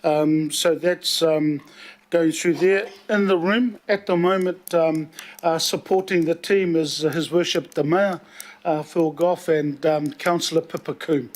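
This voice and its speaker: Male committee chair, New Zealand accent, slightly high pitch, halting and filler-heavy, uses Māori phrases. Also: steady tone. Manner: hesitant, many filler pauses; opens with a Māori prayer. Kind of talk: chairing a council meeting.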